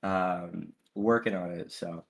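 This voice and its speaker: A man's voice: low voice, steady tone, slow pace, creaky. A man hosting an online Q&A, a bit under the weather, chatting in a friendly way with frequent stammers and laughs. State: a bit sick. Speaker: AMA host. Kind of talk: casual chat hosting.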